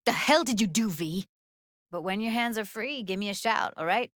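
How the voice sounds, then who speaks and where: A woman's voice: mid-range voice, expressive, moderate pace, fairly bright tone, no room sound, studio quality, close to an everyday voice. A woman voicing a young, streetwise techie in a video game, blunt and guarded with tenderness underneath, speaking in casual, clipped slang. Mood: frustrated, urgent. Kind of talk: naturalistic video game voice acting.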